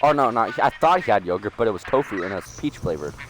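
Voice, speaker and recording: A man's voice: fairly low pitch, animated, quick pace, background noise. A teenage boy chatting casually while playing a game, rambling, laughing often and swearing freely. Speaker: gaming channel host.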